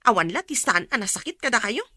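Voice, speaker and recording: Radio drama casting: woman plays weary, resentful Ilocano daughter, high bright voice, exasperated and complaining. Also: big pitch swings, fast talker, no echo, clean studio sound, exaggerated voice. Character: weary, resentful adult daughter caring for her sick mother.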